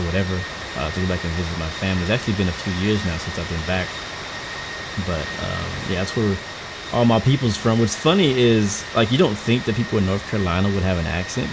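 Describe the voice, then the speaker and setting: A woman from Houston with an extremely low voice chats loosely to her stream, stumbling and restarting her sentences as she goes. A steady whir of noise sits clearly under her voice. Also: fast. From Houston, Texas. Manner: rambling and chatty, frequent restarts and stumbles. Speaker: flight sim livestreamer. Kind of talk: casual live-stream chat while flying.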